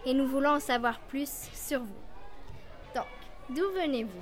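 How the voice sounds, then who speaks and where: A woman's voice: high voice, steady tone, normal speed, soft background music. A young woman, a student interviewer, reading prepared questions politely.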